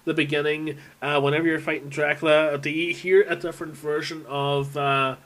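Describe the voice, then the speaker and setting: A man's voice: on the high side, flat delivery, normal speed, fairly bright tone. A man giving a casual, unscripted monologue as a fan, rambling and thinking aloud as he goes. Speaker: video game fan recording an audio log.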